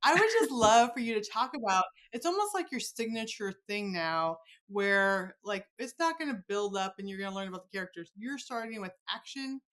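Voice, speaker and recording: A woman's voice: mid-range voice, animated, moderate pace, hardly any pauses, a bit bright. A woman hosting a podcast, chatting with a guest in an enthusiastic, gushing way. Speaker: podcast host interviewing an author. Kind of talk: chatty interview.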